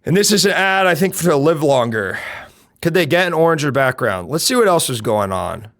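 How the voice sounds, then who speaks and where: A young man with a deep voice, streaming and chatting casually, loud and brash, swinging from shouts to mutters. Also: sing-song, moderate pace. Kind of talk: casual live-stream chat.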